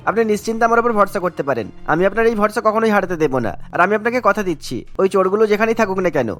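A man's voice: high-pitched, animated, rapid-fire, a bit bright, soft background music. A man playing an earnest, determined young police inspector in a cartoon, speaking in an eager, emphatic way. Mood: confident, eager. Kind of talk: cartoon voice acting.

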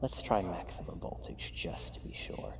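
A man playing a cold, menacing, possessive mad scientist in an ASMR roleplay, clinical and taunting in a hushed way. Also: normal speed, lightly acted. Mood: intrigued, scheming. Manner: clinical dictation mixed with hushed, taunting asides.

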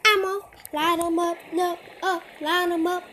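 A teenager giving casual, excitable commentary while playing a mobile game, repeating short phrases and calling out to other players. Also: extremely high pitch, unhurried, heavy background noise. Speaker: gamer. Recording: game music loud behind voice.

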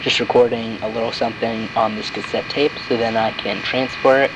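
Clear tape noise surrounds a man's voice on a cassette recording. He speaks casually at a medium pitch, as a host leaving a short test message. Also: steady tone, brisk. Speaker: tutorial host. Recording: noisy cassette tape playback.

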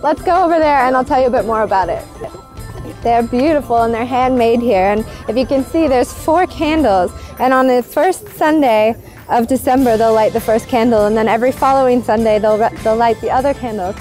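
High-pitched enthusiastic woman vlogger. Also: a little fast, darkish tone, rough recording. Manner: enthusiastic, explanatory. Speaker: travel vlogger, host. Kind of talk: upbeat travel vlog presenting to camera. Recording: music bed behind the voice. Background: background music.

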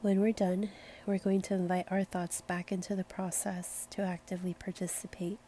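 Mellow low-voiced woman guiding meditation. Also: little pitch movement, slight background noise. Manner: gentle, soothing, unhurried invitations. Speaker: guided meditation guide. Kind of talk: slow guided meditation narration.

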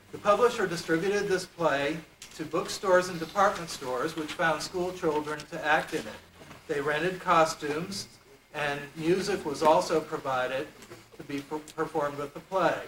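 A man in his forties introduces a show in a formal, measured manner, his voice fairly high. He sounds distant in an echoey hall, with clear noise on the camcorder recording. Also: fairly bright tone. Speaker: master of ceremonies introducing a play. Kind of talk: prepared introductory speech to an audience. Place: indoor convention hall, standing before stage curtain, distant camera. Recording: echoey, noisy amateur camcorder from afar.

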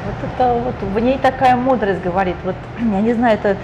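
A woman's voice: fairly high pitch, brisk, muffled recording. A woman interviewer asking chatty, admiring questions in a casual interview from a lounge. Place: lounge with leather sofas and bookshelves, indoors. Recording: noisy room ambience.

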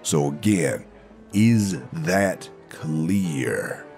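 A man's voice: deep voice, expressive, drawn-out words, darkish tone, faint music behind, full character voice. An actor playing a stern, exasperated man laying down the law in an audio drama.

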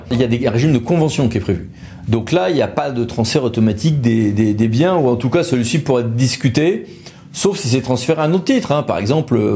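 A man's voice: mid-range voice, dark tone, a little hiss or hum. A man explains legal matters to camera in a conversational, loosely improvised way. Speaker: lawyer presenting an explainer video.

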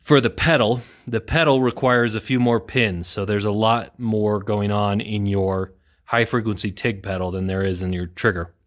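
A man's voice: lowish voice, fairly even intonation, moderate pace. A man in his thirties hosting a casual how-to repair demonstration in an indoor workshop, talking in a plain-spoken, self-deprecating way. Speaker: welding video host. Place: indoor workshop with corrugated metal wall.

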